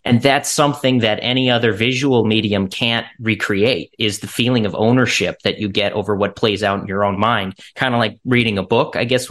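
A man with a fairly low voice answers as a guest in a video-call interview. He is reflective and thoughtful, feeling his way toward the right words. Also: fairly bright tone. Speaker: actor being interviewed.

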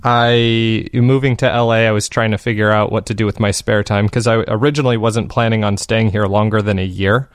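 A man with a lowish voice talks casually as an interview guest, halting and correcting himself as he goes. The sound is dry, with no room echo. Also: fairly even intonation. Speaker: fiction podcast maker, interview guest.

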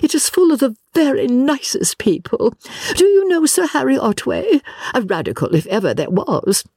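A woman voicing a fussy, theatrical older English lady from a novel, gushing and bossy, with drawn-out, affected exclamations. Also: high-pitched, big pitch swings, darkish tone, slightly rough, no echo, clean studio sound, pitched above the performer's own voice, heavily acted. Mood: shocked, delighted.

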